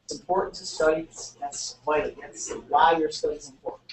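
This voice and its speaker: A man's voice: fairly high pitch, fairly even intonation, a little fast. Man lecturing in an echoey classroom. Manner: explains step by step, repeats keywords. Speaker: sociology instructor. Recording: echoey room, mic far off, faint noise.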